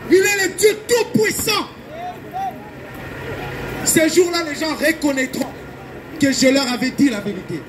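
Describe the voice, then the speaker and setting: A male evangelical preacher from Belgium delivers a fervent open-air sermon. His voice sits extremely high, and he exhorts urgently with emphatic repetition. The recording is noisy, with traffic clearly heard behind him. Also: a little slow, pauses often.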